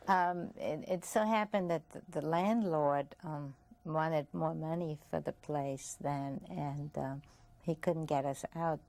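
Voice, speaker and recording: An older woman with a Viennese German accent and German as her first language. She speaks in a soft, reflective way, with small laughs. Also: sits low, slow. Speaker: interviewee. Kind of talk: oral history interview.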